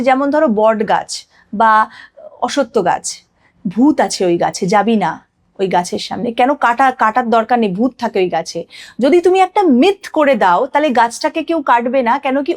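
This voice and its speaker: A woman's voice: a little high, animated, moderate pace. A woman explaining like an astrologer on a podcast, emphatically asking and answering her own questions and mixing in English phrases.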